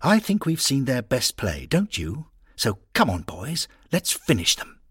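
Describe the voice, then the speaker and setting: Keen young team captain, loud and bright-eyed, played by a male reader. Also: unhurried, husky, no room sound, clean studio sound, exaggerated voice. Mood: rousing. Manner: excited, raised and higher-pitched. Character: young male team skipper, eager and rousing.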